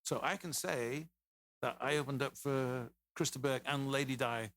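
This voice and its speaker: A man's voice: mid-range voice, moderate pace, a bit bright, dry recording. A man in his fifties with an English accent, a podcast guest telling stories in a loose, rambling way. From England. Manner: rambling, anecdotal, many restarts. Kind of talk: casual storytelling interview.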